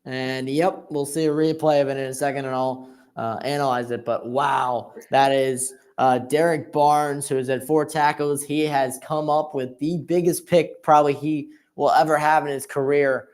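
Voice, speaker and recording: A man's voice: mid-range voice, flat delivery, moderate pace, hardly any pauses, darkish tone. A man giving informal, conversational analysis as co-commentator on an amateur live football broadcast.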